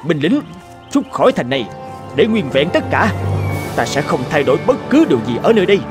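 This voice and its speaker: Proud stern emperor for a cartoon, imperious, pitch moves a lot, music under it. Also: male voice, big pitch swings, fairly quick. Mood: resolute. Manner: imperious, declamatory. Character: proud, stern male conquering emperor, commanding and curious. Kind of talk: dubbed cartoon dialogue.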